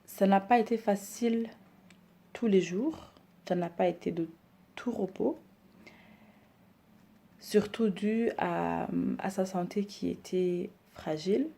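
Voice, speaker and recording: Slow, gravelly-voiced woman in her thirties. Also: medium pitch, dark tone. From Rwanda, raised in Belgium. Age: 30s. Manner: hesitant, many pauses and fillers. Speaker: decor business owner introducing herself. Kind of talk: personal introduction to camera.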